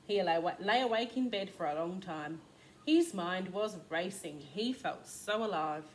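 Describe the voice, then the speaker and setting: Female kindergarten storyteller, very animated intonation, slightly slow, question-asking, noticeable background noise. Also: mid-range voice. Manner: expressive, asks listeners questions. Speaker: kindergarten teacher reading a storybook. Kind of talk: children's storybook read-aloud with asides.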